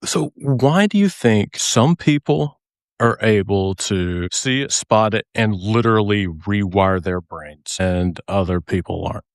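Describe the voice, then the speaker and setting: A male podcast host asks questions in a casual, lively way. His voice sits somewhat low, and he leans into his reactions. The recording is dry and close, with no room around it. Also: unhurried, no room sound.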